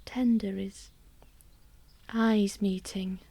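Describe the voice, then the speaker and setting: A woman acting a wistful married mother in a gentle radio monologue, reflective and intimate, sometimes sinking towards a whisper. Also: mid-range voice, fairly even intonation, gravelly, a little hiss or hum. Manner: reflective, measured, intimate, dropping to near-whisper. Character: wistful married mother narrating her private longings. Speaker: actor in a solo radio play.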